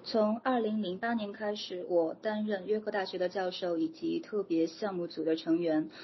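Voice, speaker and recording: A woman working as an academic advisor interprets the talk, speaking steadily with frequent filler pauses. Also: mid-range voice, steady tone. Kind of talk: consecutive interpreting. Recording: dull lo-fi audio with faint noise.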